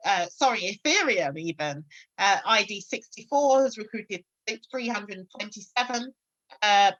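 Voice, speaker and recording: A woman's voice: mid-range voice, a bit bright, highs cut off. A woman giving an online sales presentation, hesitant and full of filler sounds, often checking in with "okay?". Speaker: webinar presenter.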